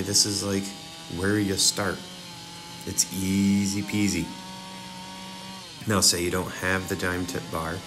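A young man casually explaining chainsaw carving cuts step by step, as an instructor. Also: deep voice. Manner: relaxed, talks viewers through each cut step by step. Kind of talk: casual tutorial voice-over.

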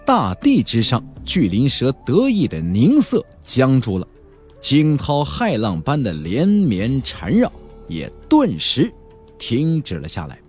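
A man's voice: mid-range voice, wildly swooping pitch, takes it slowly, a little mellow. A man narrating a fantasy audiobook in a theatrical, emphatic style, drawing out key phrases for drama. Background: faint background music.

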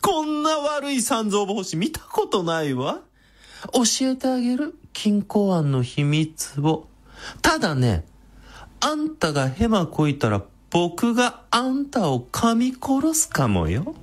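A man's voice: high voice, very animated, slow, full character voice. A man playing a flamboyant, vain, effeminate villain in a radio drama, with sassy feminine speech. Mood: menacing, mocking. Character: flamboyant, vain, effeminate self-styled great beauty villain.

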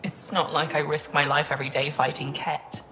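A woman's voice: low-pitched, level tone, moderate pace, bright, forceful tone, slight background noise. An actress playing a devoted, modest female alien researcher in a video game, speaking tenderly.

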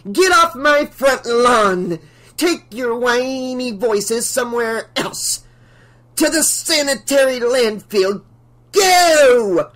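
A narrator voicing a haughty, spiteful older rich woman in an audiobook, with shrill, imperious, scornful delivery. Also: lively intonation, unhurried, a bit bright. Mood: shrieking fury.

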